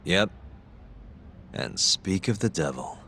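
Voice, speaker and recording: A man's voice: low voice, animated, takes it slowly, bright, forceful tone, a little hiss or hum, full character voice. A man playing a cool, wry, determined detective in a video game cutscene.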